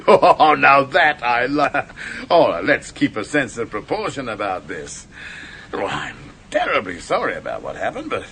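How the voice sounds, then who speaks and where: An actor playing a self-absorbed horror filmmaker in a radio play, chatty and boastful, stammering and laughing nervously. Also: male voice, medium pitch, sing-song, measured pace, sharp tone, slight background noise, heavily acted. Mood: jovial, boastful. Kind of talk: 1970s radio drama acting.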